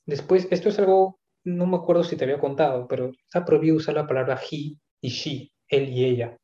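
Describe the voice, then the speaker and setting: Guy musing out loud, lots of restarts, mellow tone, dull roomy recording. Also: on the high side, quick pace. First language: not English. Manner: thoughtful, hesitant, many asides and restarts. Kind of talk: casual conversation. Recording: echoey room, dull lo-fi sound.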